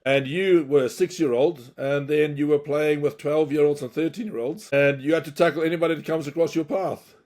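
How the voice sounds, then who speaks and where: A man's voice: on the high side, steady tone, brisk, darkish tone. A man hosting a podcast, asking questions in a friendly, chatty way. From South Africa. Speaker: podcast host, interviewer. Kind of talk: casual interview.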